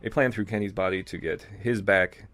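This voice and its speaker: Fast-talking, lower-voiced man reading aloud. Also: steady tone, fairly bright tone. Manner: irreverent, amused. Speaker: co-host reading fan fiction aloud. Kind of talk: reading aloud with casual joking asides.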